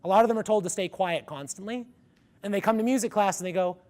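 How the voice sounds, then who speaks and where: A man presenting a music education workshop to a live audience, with a ringy, resonant voice and an energetic coaching manner. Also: sits high, quick pace. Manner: energetic, coaching the audience through vocal exercises. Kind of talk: conference workshop talk. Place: conference room with a live audience.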